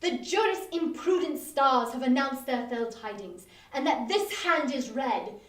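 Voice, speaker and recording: Victorian husband, played by a woman: jovial, theatrical, teasing gentleman; lively swings in pitch, distant mic. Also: measured pace. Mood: mock tragic. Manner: theatrical, old-fashioned gentlemanly speech. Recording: roomy, distant-mic live online reading.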